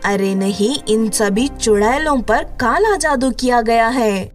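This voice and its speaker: A woman's voice: a little high, expressive, slow pace, soft background music. A woman voicing a caring, helpful female fairy in a cartoon story. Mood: alarmed.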